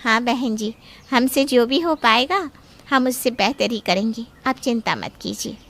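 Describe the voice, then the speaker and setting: Woman doing an eager lady relative in a family story, really high bright voice, obliging n reassuring. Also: unhurried, creaky. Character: eager, accommodating female relative in family drama. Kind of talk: acted Hindi audio story.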